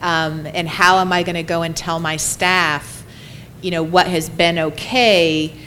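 A woman's voice: fairly low pitch, fairly even intonation, a little slow, a bit bright, slight background noise. A woman administrator speaking hesitantly in a group discussion, with many ums. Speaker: care facility administrator.

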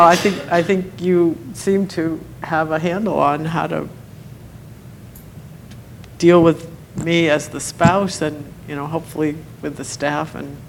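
A woman who acted in a role-play, talking it over in a group discussion with halting speech, fillers and laughs. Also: sits low, vocal fry, slight background noise, poor audio.

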